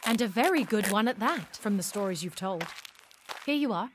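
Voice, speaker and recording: A woman's voice: medium pitch, lively intonation, moderate pace, background noise, natural voice. An actress playing a spirited, pampered young lady in a period audio drama, dramatic, coaxing and playful. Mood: eager, coaxing.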